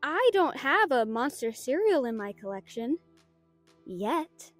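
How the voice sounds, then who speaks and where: Woman playing teasing prankster pal, high voice, animated, gleeful, mischievous, audio drama. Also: slow pace, no room sound, faint music behind, heavily acted.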